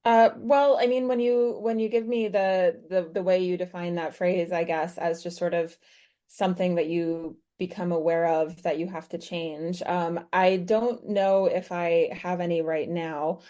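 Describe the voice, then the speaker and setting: An American woman in her thirties, a podcast guest answering questions in a thoughtful way with many pauses and restarts. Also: on the low side, steady tone, warm tone. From United States. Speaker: guest author. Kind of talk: podcast interview, conversational.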